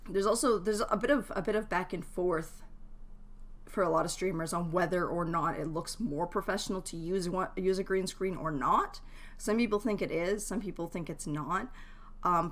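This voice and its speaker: Woman, slightly low voice, chatty, informal, giggly, game streamer, casual livestream talk. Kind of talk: casual livestream chat with viewers. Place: at home, in a shared space.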